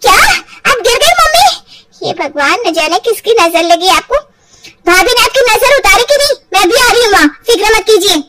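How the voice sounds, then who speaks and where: A woman's voice: extremely high pitch, big pitch swings, moderate pace, distant mic, heavy background noise, voice pushed up. A woman voicing an accusing, sarcastic, overdramatic married daughter in an acted storybook. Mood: alarmed. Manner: sharp-tongued, dramatic, sarcastic. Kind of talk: acted Hindi audio storybook.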